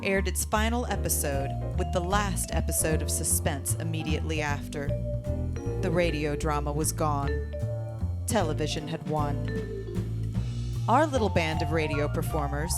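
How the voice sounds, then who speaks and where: Low-voiced woman presenter over loud music. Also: normal speed. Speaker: presenter and member of a radio theatre troupe. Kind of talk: scripted introduction to a radio play.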